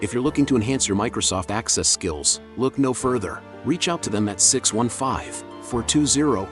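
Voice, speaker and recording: A man's voice: medium pitch, animated, normal speed. A man reading scripted promotional narration as a programme announcer. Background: music.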